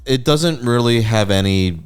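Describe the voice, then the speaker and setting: A man's voice: medium pitch, lively intonation, unhurried, clear, resonant tone, soft background music. A man talking casually and conversationally as a guest on a podcast. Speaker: voice actor. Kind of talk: casual chat.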